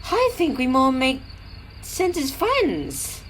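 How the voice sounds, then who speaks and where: Woman acting a lively, high-pitched female switcher engine. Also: slow, slight background noise, full character voice. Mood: frank, earnest. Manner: frank, reproving, earnest. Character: sensible, frank female switcher locomotive, firm and exasperated. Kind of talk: fan audio drama acting.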